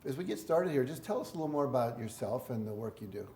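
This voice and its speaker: Brief: male host, mellow warm tone, roomy echo, faint hiss. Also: mid-range voice, expressive, fast. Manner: friendly, affirming, short interjections. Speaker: interview host. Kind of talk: talk show hosting.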